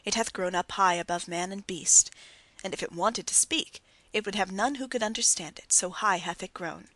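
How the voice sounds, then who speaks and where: A solemn, wise prophet, read out by a woman narrator, speaks in measured, archaic cadences. The voice stays even and mid-pitched, close and dry like a home audiobook recording. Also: no echo.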